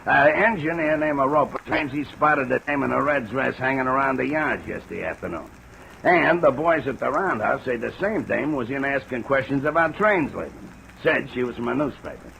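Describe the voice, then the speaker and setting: Gravelly old railroad bull. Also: male voice, medium pitch, expressive, normal speed, sharp tone, exaggerated voice. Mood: matter-of-fact. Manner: folksy, blunt, heavy railroad slang. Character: gruff, slangy veteran railroad detective, aging and eager. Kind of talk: old-time radio drama acting. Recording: noisy, hissy old radio recording.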